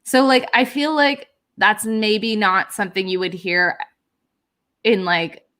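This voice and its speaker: A woman's voice: mid-range voice, fairly even intonation, slow pace, a bit bright. Chatty young woman streamer. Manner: chatty, reads out viewer comments, many fillers. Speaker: livestream host. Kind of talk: casual chat on a writing livestream.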